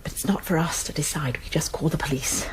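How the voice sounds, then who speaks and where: A woman's voice: deep voice, quick pace, whispered, natural voice, rough recording. Composed Englishwoman actress in a radio drama. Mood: hushed, grave. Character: brisk, caring college nurse, amateur sleuth, narrating her story. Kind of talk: radio drama acting and first-person narration.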